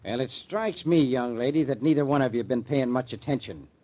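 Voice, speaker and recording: Man acting a gruff folksy American county sheriff. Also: mid-range voice, brisk, darkish tone, close to an everyday voice. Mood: interrogating, stern. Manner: folksy ungrammatical speech, blunt. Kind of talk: old-time radio drama acting. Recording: dull, muffled old radio recording.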